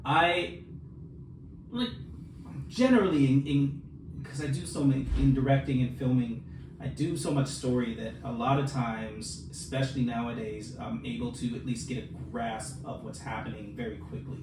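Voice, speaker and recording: A man's voice: mid-range voice, normal speed. Man chatting in a noisy, echoey room. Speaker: podcast co-host; film director and filmmaker. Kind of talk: casual chat. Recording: roomy echo, distant mic, noisy background.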